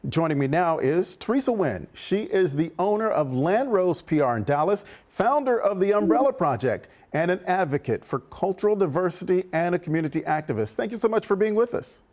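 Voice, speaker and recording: A man's voice: high voice, sing-song, fairly quick, hardly any pauses, darkish tone. A man hosting a TV talk show, introducing and questioning a guest in a polished, friendly broadcaster's manner. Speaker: TV host. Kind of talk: TV interview. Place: TV studio.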